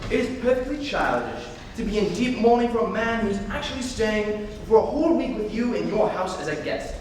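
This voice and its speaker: Young guy acting a cheeky gentleman idler, high voice, echoey hall, bit far off. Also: very animated, fairly quick. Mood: teasing. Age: young adult. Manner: mock-earnest, teasing. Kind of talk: amateur dramatic reading of a stage comedy. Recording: echoey hall, distant mic, faint noise.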